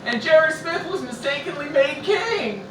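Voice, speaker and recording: A man voicing an excitable little creature narrator who squeals and declaims grandly in a live comic radio play. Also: moderate pace. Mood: excited, grand. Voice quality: high-pitched, squealing. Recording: roomy live venue, distant mic, noisy.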